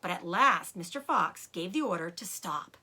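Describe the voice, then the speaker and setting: A woman storyteller reading a children's book aloud in an expressive narrating style with dramatic emphasis. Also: fairly low pitch, moderate pace.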